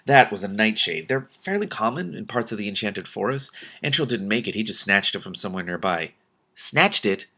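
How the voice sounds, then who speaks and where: A man's voice: fairly low pitch, lively intonation, a little fast, bright, forceful tone, heavily acted. A man reading as a curious, wary king. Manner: questioning, mildly incredulous. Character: young male king, wary, curious, easily startled.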